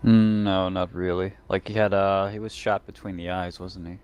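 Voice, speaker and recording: A man's voice: low voice, flat delivery, moderate pace, darkish tone, smooth. A man playing a tabletop game, chatting casually in a dry, wry way. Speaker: tabletop RPG player. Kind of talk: casual chat.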